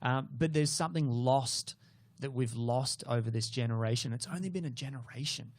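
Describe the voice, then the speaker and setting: A man's voice: mid-range voice, normal speed, no room sound. A young adult man, hosting a casual interview and explaining his views earnestly. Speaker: host.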